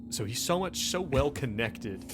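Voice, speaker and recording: A man running a tabletop game, improvising the narration haltingly and repeating himself for suspense. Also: medium pitch, lively intonation, music in the background. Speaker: game master.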